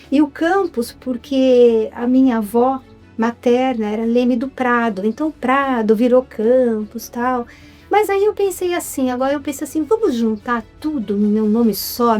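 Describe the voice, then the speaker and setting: This is a woman with a Brazilian Portuguese accent, speaking in a somewhat high voice. She chats animatedly as an interview guest, often tossing in little tag questions. Soft music plays faintly behind her. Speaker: guest, writer and actress. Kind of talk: relaxed interview chat.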